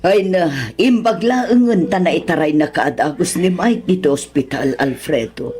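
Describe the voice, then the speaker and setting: A woman's voice: lowish voice, normal speed, warm tone, faint music behind, natural voice. A woman with a Filipino accent playing an exasperated but caring older wife who scolds, in a radio drama. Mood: scolding, worried. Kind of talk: Ilocano radio drama acting.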